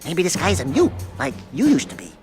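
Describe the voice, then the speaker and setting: A man voicing a small, cocky, wisecracking furry sidekick in a video game, excitable and sarcastic. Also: on the high side, a little fast, music in the background. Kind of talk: video game cutscene acting.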